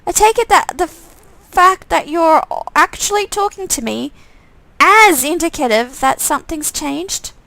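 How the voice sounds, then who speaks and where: Woman voicing a high, creaky, wary game heroine. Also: expressive, measured pace, pushed and bright, dry recording, full character voice, poor audio. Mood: wary, teasing.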